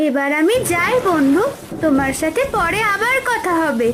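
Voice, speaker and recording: A woman's voice: extremely high pitch, animated, normal speed, a loud crowd. A woman voicing a kind, eager young village girl in a children's cartoon, with a childlike manner. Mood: kind, reassuring.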